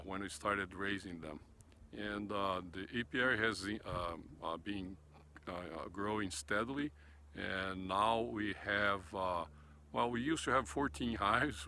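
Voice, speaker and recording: A man's voice: lowish voice, bright, forceful tone, a little hiss or hum. A man from Brazil with a Brazilian Portuguese accent, talking in a hesitant, filler-heavy way while showing his backyard beehives outdoors. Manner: hesitant, many "uh" fillers and restarts. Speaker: beekeeper, channel host. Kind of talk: casual walkthrough vlog. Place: outdoors in a wooded backyard apiary.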